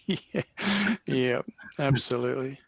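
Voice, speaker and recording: A man's voice: mid-range voice, slow pace, vocal fry, no echo, highs cut off. An Australian man hosting a casual news chat over a video call, rambling and repeating himself, often calling his guest mate. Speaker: podcast host.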